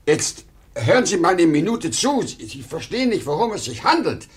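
An older man acting a proud, nostalgic, pleading travelling salesman in a 1950s German radio play, emotional and halting. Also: fairly high pitch, big pitch swings. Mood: nostalgic, fervent. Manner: emotional, halting when pleading, repeating himself.

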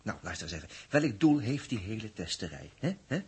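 An actor playing a cocky, crafty space agent, breezy and bossy, in a 1960s science-fiction radio drama. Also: male voice, on the low side, big pitch swings, brisk, a little mellow, slightly rough, exaggerated voice. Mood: urging, coaxing. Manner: breezy, scornful, coaxing.

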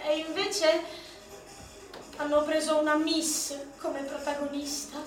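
A wry, self-mocking young actress down on her luck, played by a woman on stage. Her very high voice takes its time, and she slips into song between punchlines. The sound is heard from afar in a very echoey theatre, with music behind. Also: flat delivery, takes it slowly, far from the mic. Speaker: stage performer. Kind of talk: live one-woman theatre monologue.

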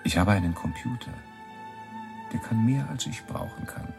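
An actor playing a man who recites simple personal statements in short deliberate sentences, with a creaky voice, in a radio spot. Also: lowish voice, expressive. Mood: declaring. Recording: music under the voice.

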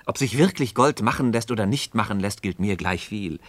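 Composed philosophical mentor for radio play: lowish voice, measured and didactic, gently ironic, dry studio sound. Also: male voice, lively intonation, dry recording. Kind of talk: mid-century German radio play acting of philosophical dialogue.

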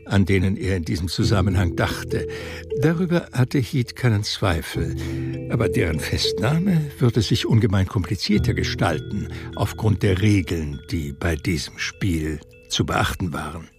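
Gravelly low male narrator over music. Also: sing-song, measured pace. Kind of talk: scripted literary narration of a radio drama. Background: music under the voice.

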